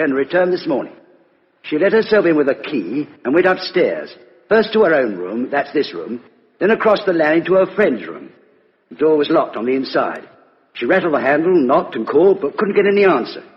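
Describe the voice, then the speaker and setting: An actor playing a deferential British police sergeant, reporting in a clipped, orderly way in a 1950s radio play. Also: male voice, a little high. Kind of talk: 1950s radio drama acting. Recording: muffled, echoey 1950s radio recording.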